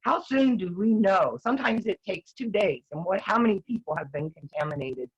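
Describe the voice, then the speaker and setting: An older woman talking earnestly as a guest, rambling with restarts, her voice going hoarse. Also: medium pitch, expressive, normal speed. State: losing her voice, clearing her throat. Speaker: guest activist. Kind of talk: casual talk-show discussion. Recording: dull lo-fi sound.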